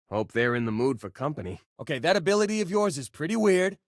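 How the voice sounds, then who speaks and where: An actor playing an easygoing young space miner in a video game, with a casual, joking way of talking. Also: male voice, fairly high pitch, lively intonation, brisk, no echo, clean studio sound. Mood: casual, wry. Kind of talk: video game companion voice lines.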